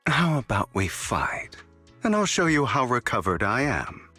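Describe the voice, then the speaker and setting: A man's voice: mid-range voice, sing-song, unhurried, sharp tone, slightly rough, exaggerated voice. An actor playing a suave, theatrical young man in a video game, wry, resolute and elegant in his phrasing. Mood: weary, teasing. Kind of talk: dramatic video game voice acting. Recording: music behind the voice.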